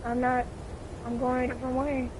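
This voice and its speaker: A high-voiced gamer casually chatting and calling out tactics to teammates during a multiplayer video game. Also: completely flat delivery, a little fast. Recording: clearly noisy gaming mic recording.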